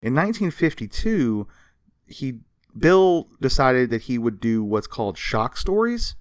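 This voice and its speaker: A man's voice: mid-range voice, big pitch swings, unhurried, clean tone, no echo, studio quality. A man co-hosting a podcast, explaining history in a casual, conversational way with informal quoted asides. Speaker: podcast co-host.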